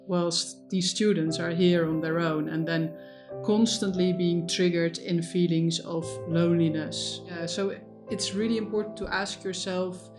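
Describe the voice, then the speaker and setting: A woman counselor giving measured advice in an interview. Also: fairly low pitch, fairly even intonation, over music. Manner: measured, explanatory. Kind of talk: advisory interview.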